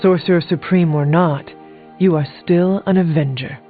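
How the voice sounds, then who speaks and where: An actor playing a level-headed, earnest warrior hero in a video game, with a measured and formal way of talking. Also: male voice, a little high, steady tone, lightly acted. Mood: supportive. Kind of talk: video game character dialogue. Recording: phone-like band-limited sound under music. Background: music.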